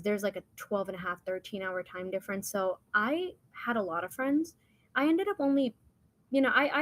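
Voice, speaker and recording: A young woman whose first language is Hindi, from India and the United States, telling personal stories in a casual, rambling, informal way as a podcast guest. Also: mid-range voice.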